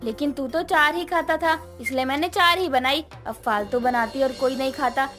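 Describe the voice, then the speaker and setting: A woman voicing a thrifty, worried widowed mother, loving but stern, in an animated moral story. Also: high-pitched, normal speed, faint music behind.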